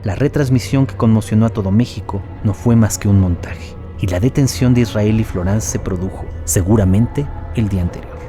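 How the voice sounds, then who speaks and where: A man's voice: fairly low pitch, fairly quick, few pauses, music in the background. A man reading scripted documentary narration as the narrator of an audio series.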